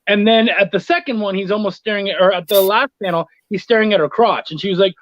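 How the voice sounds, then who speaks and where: A man's voice: high-pitched, fast. A man chatting casually as an interview guest, telling anecdotes and voicing the exchanges in them, on muffled lo-fi call audio. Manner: anecdotal, acting out quoted exchanges. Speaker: guest, freelance comics writer and editor.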